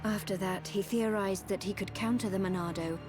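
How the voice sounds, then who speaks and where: Actress as a solemn, measured ancient woman, music behind. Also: medium pitch, fairly even intonation, lightly acted. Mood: solemn, explaining. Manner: measured, formal, explanatory. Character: calm, solemn woman of an ancient machine people. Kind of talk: video game cutscene acting. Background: orchestral game music.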